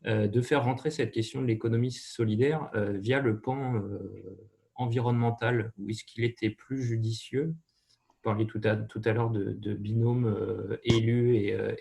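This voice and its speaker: A man with a fairly low, creaky voice puts a question in an online meeting. He is polite and pauses often, like a new councillor introducing himself. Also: dark tone. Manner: polite, hesitant, pausing. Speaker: newly elected town councillor. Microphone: video call.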